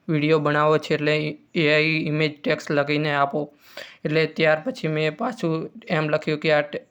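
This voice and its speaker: A man's voice: a little high, a bit bright, clear, resonant tone, dull audio. A man hosting an informal step-by-step tutorial, using repetitive instructional phrasing. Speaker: tutorial host.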